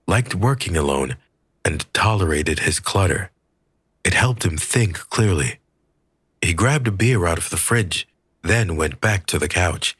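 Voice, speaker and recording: A man reading a scripted story aloud as an audiobook narrator, with a husky voice. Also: sits low, a bit bright, no echo, clean studio sound.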